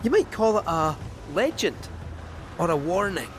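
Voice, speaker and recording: A man's voice: high voice, big pitch swings, moderate pace, a bit bright, a little hiss or hum. A man voicing a small, chatty, cagey cat robot sidekick in a game cutscene. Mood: hesitant warning.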